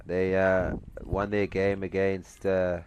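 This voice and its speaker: Low-voiced South African man on cricket commentary. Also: flat delivery, slow pace, darkish tone, clear, resonant tone. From Cape Town, South Africa. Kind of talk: live amateur match commentary, conversational. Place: outdoors at a cricket ground.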